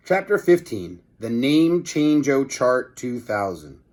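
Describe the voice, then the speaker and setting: A man's voice: mid-range voice, sing-song, takes it slowly, darkish tone. A man reading a children's book aloud like a teacher, with casual asides to his listeners.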